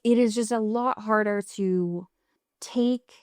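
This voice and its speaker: A woman's voice: medium pitch, slow pace, darkish tone, smooth, no room sound, studio quality. A woman co-hosting a podcast in a casual recap chat, informal and wry, with some swearing. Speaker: podcast co-host.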